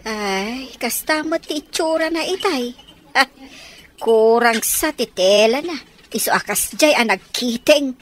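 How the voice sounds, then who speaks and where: A woman's voice: fairly high pitch, expressive, measured pace, slight background noise. An actress playing a gossipy, plain-spoken mother in a radio drama, talking conversationally. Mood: gossipy, disapproving.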